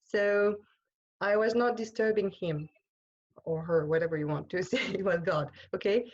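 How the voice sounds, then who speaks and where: A French-accented woman, a religious sister from France whose first language is French, giving an informal talk to an online group on a video call and often pausing to search for words. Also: medium pitch, a little mellow, muffled recording. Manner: hesitant, searching for words, many pauses and restarts. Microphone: computer microphone on a video call.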